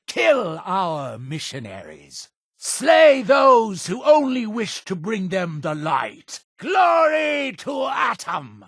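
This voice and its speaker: An actor playing a zealous, imperious cult leader in a video game, with a gravelly, husky voice and solemn, grandiose delivery. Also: male voice, sits high, wildly swooping pitch, takes it slowly, a bit bright, no echo, shouting, clean studio sound, full character voice. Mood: fervent, rousing. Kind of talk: theatrical video game dialogue.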